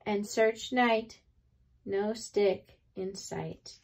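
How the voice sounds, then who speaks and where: A woman reading a children's picture book aloud expressively, with deliberate dramatic pauses between phrases. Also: mid-range voice. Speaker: read-aloud storyteller.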